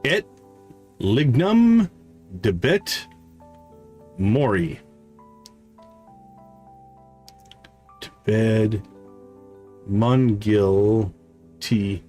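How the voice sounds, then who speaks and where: A man's voice: on the low side, expressive, drawn-out words, long pauses, darkish tone, soft background music. Man reading as an earnest, formal village wizard. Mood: solemn. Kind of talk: reading video game dialogue aloud. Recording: clear background noise.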